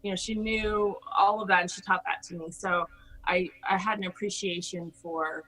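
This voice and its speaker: A middle-aged American woman with a very bright, forward voice. She talks in a chatty, effusive way, sharing personal stories casually as an interview guest. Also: on the low side, fairly even intonation, normal speed, poor audio. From Hawaii, United States. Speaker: podcast guest.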